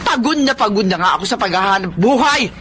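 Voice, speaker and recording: A performer acting a bitter, domineering wife in a radio drama, scolding impatiently with a sharp tongue. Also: medium pitch, expressive, quick pace, close to an everyday voice. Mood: irritated, scolding. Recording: noisy background ambience.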